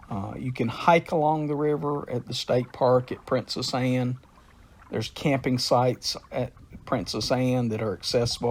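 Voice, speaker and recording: A man giving an unhurried, informal talk about a river's history and how to visit it, pausing often with "uh.". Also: mid-range voice, darkish tone. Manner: unhurried, with frequent "uh" pauses.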